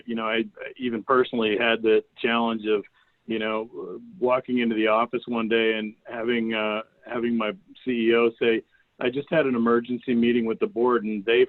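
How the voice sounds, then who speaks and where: A man's voice: medium pitch, dry recording. A man hosting a group call, casually chatting and telling anecdotes in an informal way, over narrow call audio. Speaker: meeting host. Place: video call.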